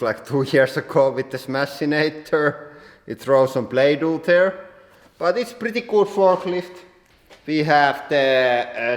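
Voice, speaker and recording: Finnish guy showing off his workshop, lots of "like", big hall echo all around him. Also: mid-range voice. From Finland. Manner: plain halting English with many "like" fillers. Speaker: workshop owner and channel host. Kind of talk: casual workshop tour. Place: large industrial workshop hall. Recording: roomy echo with long hall reverb.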